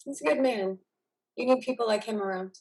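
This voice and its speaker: A woman's voice: fairly high pitch, a little fast, a little mellow, lightly acted. A woman playing the caring, affectionate wife of a recovering veteran, speaking tenderly in a dramatic play reading. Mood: tender, concerned.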